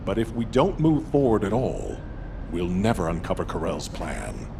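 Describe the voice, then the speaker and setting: A man playing a serious operations leader, delivering measured, resolute briefing dialogue for a video game. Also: big pitch swings, exaggerated voice. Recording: clear rumbling vehicle noise behind.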